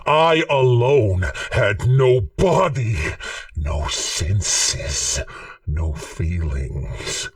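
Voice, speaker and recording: Radio drama villain: embittered sentient computer, very deep, slow and deliberate, menacing, machine-filtered, distant. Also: male voice, no room sound. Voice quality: low, sinister, machine-like. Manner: slow, theatrical, deliberate monologue. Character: menacing, embittered sentient supercomputer tormenting its captive. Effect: sinister machine voice filter.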